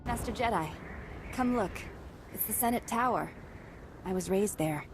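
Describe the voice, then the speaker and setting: A woman's voice: mid-range voice, normal speed, noisy background, natural voice. A woman playing an earnest, secretive ex-military diplomat and zealous patriot in a video game, speaking persuasively and solemnly. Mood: solemn, proud. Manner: persuasive, solemn, hushed at times.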